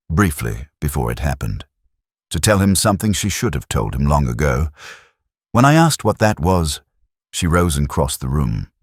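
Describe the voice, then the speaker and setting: A man with an extremely deep voice narrates a detective mystery in a measured, solemn way. His pitch moves expressively through each reflective phrase. The sound is close and dry. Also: slightly rough, no room sound. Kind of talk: slow literary first-person mystery narration.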